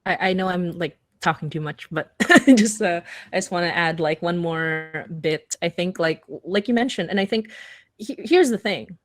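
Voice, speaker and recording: A woman speaking unscripted as a writer on a discussion panel, earnest and halting as she rephrases her points. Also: on the low side, no echo, studio quality. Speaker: panelist, audio drama writer.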